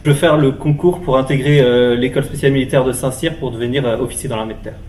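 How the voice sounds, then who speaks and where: Male student, 20, from the Paris region, steady and measured, roomy distant sound. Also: medium pitch, a little hiss or hum. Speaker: prep school student. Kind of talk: interview answers. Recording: echoey room from a distant mic.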